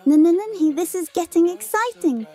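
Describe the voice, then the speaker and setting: A woman voicing a bubbly, flirty female robot chicken in a comic dub, teasing and high, over music. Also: lively intonation, normal speed, dark tone.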